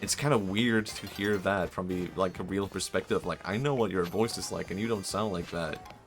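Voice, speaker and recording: A man's voice: low voice, steady tone, normal speed. A young man streaming live, talking casually and hesitantly, with many fillers, restarts and self-mocking asides. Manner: self-mocking, laughs softly. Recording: faint music behind.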